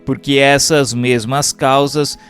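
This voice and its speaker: A Brazilian man reads a formal text as a scripted narration, in a measured and carefully phrased manner. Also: medium pitch, level tone, a bit bright, smooth, faint music behind. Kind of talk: scripted audiobook-style reading of a doctrinal text.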